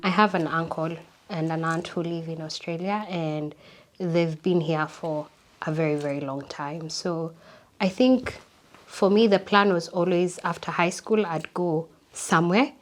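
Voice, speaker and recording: A young woman telling her life story in an interview, reflective and unhurried, often repeating words for emphasis. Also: on the low side, fairly even intonation. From Kenya. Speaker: interview guest.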